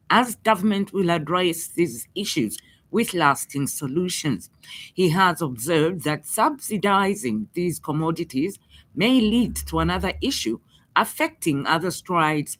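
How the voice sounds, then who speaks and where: Lower-pitched Zambian woman reading radio news. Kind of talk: scripted news bulletin reading.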